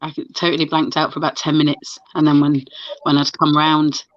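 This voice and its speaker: A woman's voice: low-pitched, little pitch movement, brisk. A woman hosting a casual video chat, telling stories in a chatty, stop-start way with lots of restarts. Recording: dull lo-fi sound.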